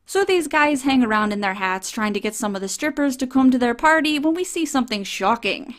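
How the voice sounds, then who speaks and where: A woman's voice: high-pitched, expressive, brisk. A woman reviewing an old film in a sardonic, casually profane comedic style.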